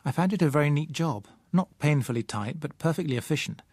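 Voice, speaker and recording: A man with a British accent reading a first-person novel as an audiobook, in a measured, literary manner. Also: fairly high pitch, animated, a little mellow, dry recording. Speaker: audiobook narrator.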